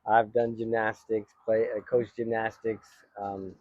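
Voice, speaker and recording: Man in his fifties from Texas, a coach; low, mellow and relaxed, dry room. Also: unhurried, no echo, narrow, tinny audio. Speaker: tennis coach, channel co-host. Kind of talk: casual chat.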